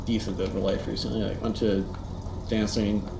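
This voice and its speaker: A teenage boy chatting casually as co-host, rambling and animated with lots of fillers. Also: fairly low pitch, a little fast, creaky. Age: teens. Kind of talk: casual chat. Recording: echoey room, faint noise.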